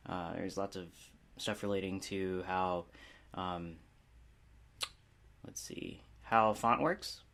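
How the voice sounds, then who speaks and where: A man's voice: low voice, fairly even intonation, slow, long pauses, fairly bright tone. A man giving a casual, unscripted review as a video host, rambling informally with a self-deprecating manner.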